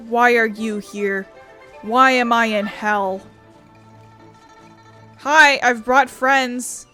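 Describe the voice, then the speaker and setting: A woman's voice: a little high, fairly even intonation, very slow, bright, forceful tone. A woman giving casual let's play commentary, chatty and thinking aloud as she plays. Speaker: gaming streamer. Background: game music.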